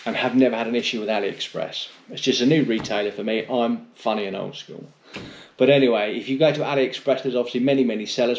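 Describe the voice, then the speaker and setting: A man's voice: mid-range voice, dull audio, noisy background. A middle-aged British man giving a casual, unscripted product review in a blunt, chatty, rambling way with mild swearing. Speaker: motorcycle vlogger reviewing gear.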